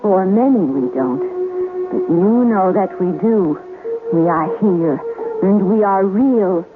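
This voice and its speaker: A coquettish yet menacing female ghost in a vintage radio play. She speaks slowly and theatrically, taunting as she goes. The sound is muffled, with music clearly playing underneath. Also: medium pitch, animated. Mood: eerie, taunting. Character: ghost of a murdered captain's wife, coquettish and menacing. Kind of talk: 1970s radio drama acting.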